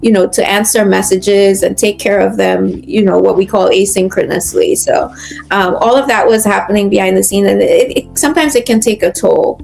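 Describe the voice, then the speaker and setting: Gravelly-voiced woman doctor, reflective. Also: medium pitch, moderate pace, darkish tone, soft background music. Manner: reflective, many fillers and restarts. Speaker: physician guest. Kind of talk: conversational interview.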